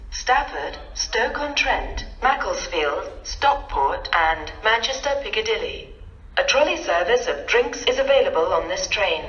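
A woman's voice: medium pitch, a bit bright. A woman delivers formal, automated railway announcements in separate recorded phrases. She comes through a public address speaker from a distance, dull and echoing. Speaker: station announcer.

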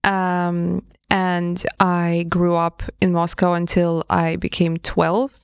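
A woman's voice: on the low side, monotone, slow pace, gravelly, clear, resonant tone, no room sound. Woman in her thirties, thoughtful and hesitant podcast guest, from Russia; dry close studio mic. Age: 30s. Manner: thoughtful, hesitant, laughs often. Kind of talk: casual interview conversation. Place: studio room with table. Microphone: studio mic with headphones.

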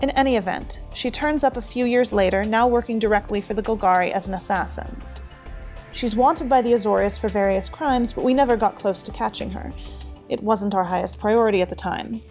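A woman's voice: medium pitch, brisk, darkish tone, lightly acted. A woman playing a wary, well-informed informant in a fantasy audio drama, briefing formally and matter-of-factly. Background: music.

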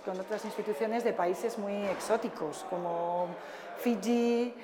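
A woman speaks in an interview clip, pausing and searching for words now and then. Her pitch is middling. Background ambience is clearly audible. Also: background noise. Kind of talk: interview soundbite.

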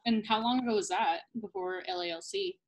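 A woman's voice: on the high side, very animated, fairly quick, vocal fry, poor audio. A young woman interviewing a student over a webcam video call from a small room at home, asking friendly, rambling questions. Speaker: interviewer for language school. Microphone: laptop webcam on video call.

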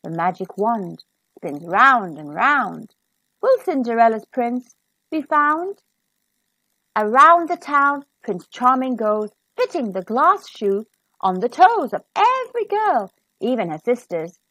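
A woman reading a rhyming storybook to children in an expressive, sing-song, carefully enunciated way. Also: fairly high pitch, takes it slowly, breathy edge, no echo, poor audio.

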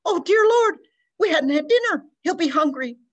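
A woman's voice: extremely high pitch, animated, moderate pace, no room sound, lo-fi sound, pitched above the performer's own voice. A woman reading aloud voices a panicked farm mother pleading for her lost child. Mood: wailing. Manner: pleading, breathless, rising to wails. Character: frantic mother in her thirties, panicked, wailing.

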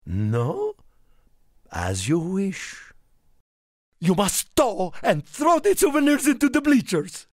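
A man voicing a small, excitable, friendly Italian car in a video game, theatrical and exclamatory, with an Italian accent and broken English. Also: high voice, takes it slowly, long pauses, no room sound, studio quality, heavily acted. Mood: welcoming. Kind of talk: animated video game character lines.

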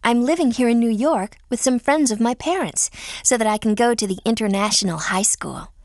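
A woman's voice: a little high, a little fast, dry recording, clean studio sound, lightly acted. A woman playing a friendly, earnest teenage American girl in a scripted video game. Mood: upbeat, friendly. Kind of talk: scripted game character lines.

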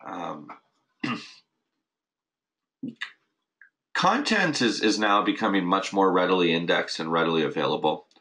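A man with a notably low voice hosts a recorded webinar. He talks loosely and off the cuff, restarting sentences and leaning on fillers as he explains. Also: expressive, takes it slowly, pauses often, sharp tone. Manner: casual, many restarts and "you know" fillers. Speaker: host of a weekly marketing update. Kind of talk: unscripted webinar talk.

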